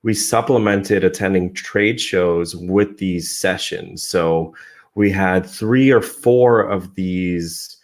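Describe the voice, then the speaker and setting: A man's voice: low-pitched, slow pace. A man from San Francisco, a digital marketer, explaining his work as a guest in a casual video-call interview. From San Francisco, United States. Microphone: podcast-style microphone.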